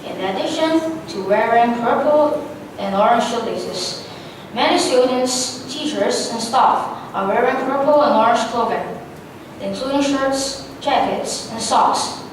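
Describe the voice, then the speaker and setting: A young woman reading scripted narration on stage, heard from a distance in an echoey hall. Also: measured pace. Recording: echoey hall, distant mic, faint rumble.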